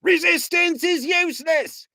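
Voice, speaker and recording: Hulking dim alien guard, as a man puts him on, high barking shouts, slow-witted, audiobook figure. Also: no room sound, studio quality, heavily acted. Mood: bellowing. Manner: loud barking shouts of one stock phrase. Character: dim young male alien guard, huge and sluggish.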